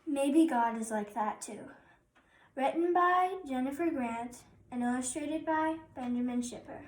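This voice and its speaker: Child female narrator, around nine: high voice, measured slow storybook reading, recorded in an echoey room. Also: recorded from a distance. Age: child, about nine. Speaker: reader of a picture book. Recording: roomy echo, faint background noise.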